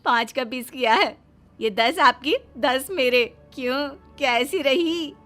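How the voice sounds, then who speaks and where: High-pitched, cocky young daughter-in-law. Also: female voice, slow, fairly bright tone, faint music behind. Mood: cheeky. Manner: theatrical, boastful. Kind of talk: Hindi moral-story cartoon dubbing.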